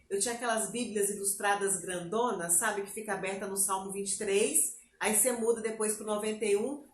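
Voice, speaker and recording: Lively Brazilian woman telling her testimony. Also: fairly high pitch, roomy sound, slight background noise. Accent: Bahian Brazilian Portuguese. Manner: lively storyteller, acts out her own past words. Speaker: interview guest. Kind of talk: personal testimony, casual chat.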